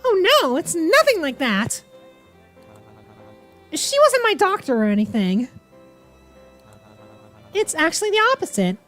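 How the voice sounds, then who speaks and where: A woman's voice: very high-pitched, sing-song, unhurried, pauses often, a bit bright, soft background music, pitched above the performer's own voice, heavily acted. A woman voicing a chatty, naive, friendly young man from a horror visual novel, earnest and rambling. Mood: earnest, flustered. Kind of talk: visual novel character reading.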